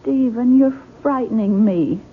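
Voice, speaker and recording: An actress playing a gentle, anxious young wife in a 1970s radio drama, coaxing and emotional. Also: female voice, fairly high pitch, a little slow, close to an everyday voice. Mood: worried, pleading. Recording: muffled, faintly noisy radio broadcast.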